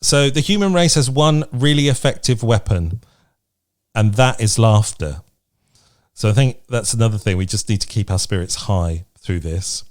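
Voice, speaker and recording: Male co-host, lowish voice, casual and encouraging conversational delivery. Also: moderate pace. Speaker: podcast co-host. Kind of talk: casual chat.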